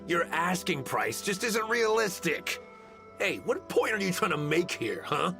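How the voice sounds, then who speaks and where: Fed-up anime game samurai, gravelly, slow, music behind. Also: male voice, sits high, very animated, heavily acted. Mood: exasperated. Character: stern, exasperated male samurai.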